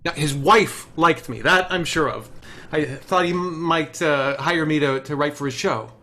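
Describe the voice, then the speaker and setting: Man playing out-of-work TV writer, higher male pitch, halting, earnest, frustrated, naturalistic table read. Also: bright, forceful tone, faint music behind. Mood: hopeful. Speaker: actor in a screenplay table read.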